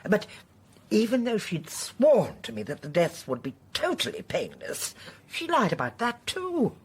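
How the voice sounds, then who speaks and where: A husky, haughty elderly poisoner. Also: female voice, mid-range voice, wildly swooping pitch, unhurried, heavily acted. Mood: coolly boastful. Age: older. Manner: chatty, imperious, emphatic, politely menacing. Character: genteel, haughty elderly female poisoner, chillingly unrepentant. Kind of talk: radio drama acting.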